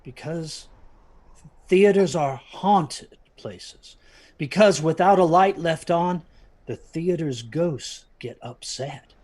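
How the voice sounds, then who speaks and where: A man playing an uneasy parent in an audio drama, speaking in a halting, ominous, husky way that drops toward whispers. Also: fairly high pitch, darkish tone. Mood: secretive, haunted. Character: uneasy parent warning children about haunted theaters.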